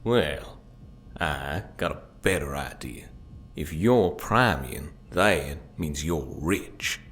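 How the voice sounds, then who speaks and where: An actor playing a greedy, threatening arms-dealing gang boss who taunts people, in an audio drama. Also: male voice, extremely low pitch, expressive, slow pace, fairly bright tone, slight background noise, exaggerated voice. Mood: menacing.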